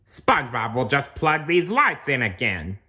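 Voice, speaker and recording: A grumpy male cartoon squid, acted out by a man reading a storybook, pitched well up and swinging widely. He is sarcastic and exasperated, with a bright, forceful edge to his griping. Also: very animated, unhurried, exaggerated voice. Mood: frustrated. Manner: sarcastic, complaining, prone to shouting outbursts.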